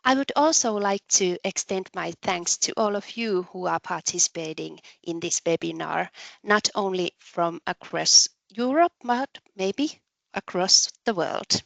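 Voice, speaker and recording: A woman hosting a webinar, introducing a guest speaker in a measured, carefully read way with occasional ums. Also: lowish voice, lively intonation, gravelly, no echo, rough recording. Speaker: webinar host, moderator. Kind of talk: formal introduction.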